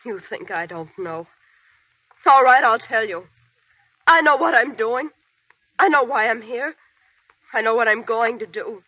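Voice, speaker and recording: An actress playing a bitter, grieving young army nurse in a 1940s radio drama, talking in a cold, clipped and hostile way. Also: female voice, a little high, expressive, unhurried, pauses often, no room sound. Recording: dry muffled 1940s radio broadcast.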